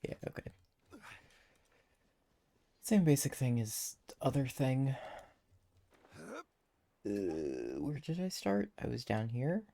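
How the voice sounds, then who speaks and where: Casual gameplay streamer, mid-pitched, animated intonation, self-directed musing over game music. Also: slow, gravelly, long pauses.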